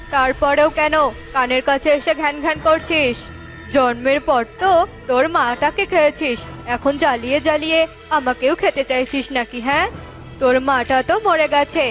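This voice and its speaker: A woman's voice: high voice, fairly bright tone. A woman voicing a cruel, bitter cartoon bird who scolds harshly.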